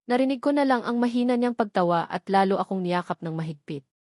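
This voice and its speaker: A woman with a Filipino accent narrates a first-person romance novel. Her pitch sits in the middle range, and the sound is dry with very little room. She reads as an audiobook storyteller. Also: expressive, quick pace, a little mellow, no echo.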